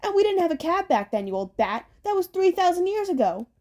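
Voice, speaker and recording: A woman's voice: very high voice, very animated, brisk, mellow, pitched above the performer's own voice. A woman voicing squabbling old hag sisters, screeching and wailing as she reads a storybook. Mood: bickering.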